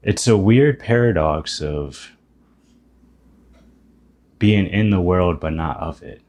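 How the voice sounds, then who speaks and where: A man's voice: low-pitched, lively intonation, slow, a little mellow. A man in his thirties, a YouTuber talking through a reflective, self-deprecating monologue about spiritual ideas and often restarting his sentences. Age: 30s. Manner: musing, self-deprecating, thinking aloud with restarts.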